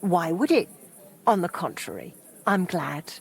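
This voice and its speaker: Friendly medieval townswoman, lowish husky voice, warm and helpful, game dialogue. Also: big pitch swings, measured pace, exaggerated voice. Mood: friendly, obliging. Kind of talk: video game character dialogue.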